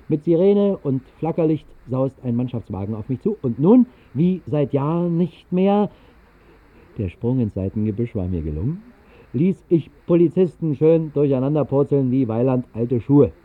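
An actor playing a tipsy, whimsical night wanderer in a 1960s radio drama monologue, speaking in a halting, theatrical, mock-pompous way. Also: male voice, medium pitch, expressive. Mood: tipsy, mischievous. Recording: muffled narrow-band 1960s radio recording.

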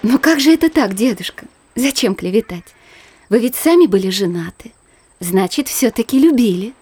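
A young married granddaughter in a literary audio drama. Her voice is fairly high yet dark and breathy, and she speaks with earnest, gentle, well-mannered insistence. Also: animated, normal speed. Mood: protesting. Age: young adult. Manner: earnest, pleading, polite.

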